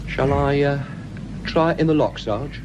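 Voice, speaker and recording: An actor playing an earnest young police constable in a radio drama, deferential but persistent in making suggestions. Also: male voice, a little high, unhurried, darkish tone, slight background noise, natural voice. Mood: earnest, tentative.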